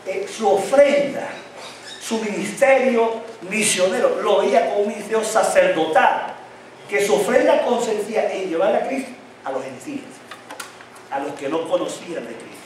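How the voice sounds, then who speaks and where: A male pastor preaching with a fairly high voice, drawing answers from his congregation with repeated questions. A slight tongue-tie tangles his words at times. He is heard from some distance in a very echoey church hall. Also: moderate pace, a little hiss or hum. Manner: call-and-response questions to the congregation. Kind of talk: sermon.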